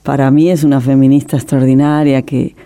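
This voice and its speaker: A woman's voice: rumbling low, little pitch movement, a little fast, warm tone. A middle-aged woman filmmaker telling her story in an interview, passionate and emotional, often restarting her sentences. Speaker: documentary filmmaker, guest.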